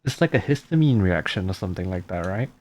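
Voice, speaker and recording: A woman's voice: rumbling low, animated, quick pace, a little mellow, a little hiss or hum. A woman giving casual playthrough commentary as a game streamer, musing aloud and reading dialogue in an offhand way. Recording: game music behind.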